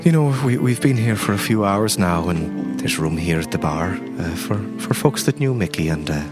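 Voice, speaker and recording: Guy doing an older mourner in a roleplay story, really low voice, halting and super polite, music playing under him. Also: animated. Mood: solemn, welcoming. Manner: halting, effusively polite, repeats words. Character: courteous older mourning fisherman's friend at a wake. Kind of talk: improvised roleplay in an audio story. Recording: music behind the voice.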